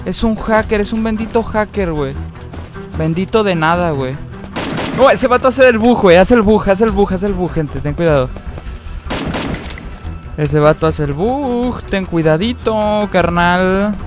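A young man with a Mexican accent giving excitable, slangy live commentary while playing a mobile shooter, with frequent high outbursts. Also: measured pace. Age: young adult. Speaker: gaming streamer. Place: indoor room at a desk, facecam. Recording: game music underneath. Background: game music.

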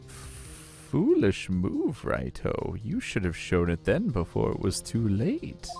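A man puts on an older police chief for a courtroom game, smug and jovial with menace underneath. The delivery is theatrical and mocking, swinging widely in pitch, with a creaky edge. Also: huge pitch swings, a little mellow, soft background music, exaggerated voice. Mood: smug, taunting. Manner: mocking, drawn-out, theatrical chuckles. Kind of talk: voiced visual novel playthrough.

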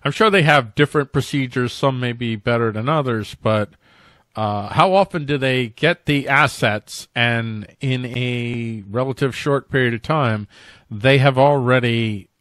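A man's voice: mid-range voice, measured pace, a bit bright, dry recording. A middle-aged man co-hosting a casual political talk show, speaking into a close microphone indoors. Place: indoors, online video show. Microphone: close microphone, headset.

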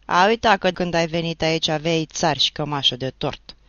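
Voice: medium pitch, big pitch swings, bright, forceful tone, dry recording, lo-fi sound, voice pushed up. A reader voicing a grown village man, a war veteran, who answers back with blunt mocking retorts. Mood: defiant. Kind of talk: audiobook narration.

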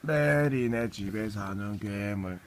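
A man, a father, reading a picture book aloud to his child in an animated way, with little asides. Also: lowish voice, slow, over a noisy crowd.

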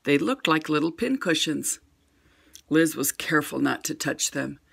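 Older female storyteller, bright gravelly tone, expressive picture-book read-aloud. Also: deep voice, unhurried. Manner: gentle expressive storybook reading.

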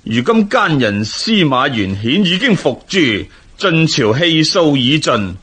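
Raspy, gloating rebel warlord. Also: male voice, a little high, very animated, fairly bright tone, exaggerated voice. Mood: gloating triumph. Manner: pompous, slow, boastful. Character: gloating, ambitious rebel warlord seizing the throne. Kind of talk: Cantonese radio historical drama acting.

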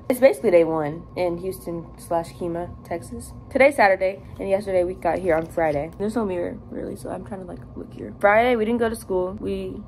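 A woman's voice: fairly low pitch, brisk, darkish tone. A young American woman chatting casually and playfully in slang, as a vlogger getting ready in a hotel room. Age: young adult. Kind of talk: casual get-ready-with-me vlog chat. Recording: noisy room ambience.

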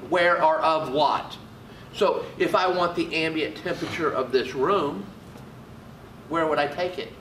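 A man's voice: on the high side, a little slow, fairly bright tone, a little hiss or hum. A man, a trainer teaching and quizzing students in a casual classroom manner. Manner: quizzing students, seated and gesturing broadly. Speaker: HVAC trainer. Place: training room with an AC unit. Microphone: camera mic from across the room. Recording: echoey room, voice from a distance.

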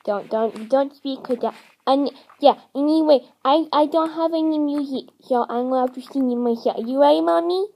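A young-sounding female performer voicing a whiny, babyish four-year-old boy hamster in a homemade toy puppet skit, halting and repeating words. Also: high voice, vocal fry, mellow, voice pushed up. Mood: babyish, fussy.

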